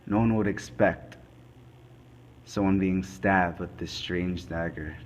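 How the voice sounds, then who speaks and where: A man playing a grave, methodical forensic investigator, delivering a scripted dramatic monologue in halting, deliberate phrases. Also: sits low, steady tone. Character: male forensic investigator recounting a murder case, grave and methodical. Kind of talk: scripted dramatic monologue, amateur student production.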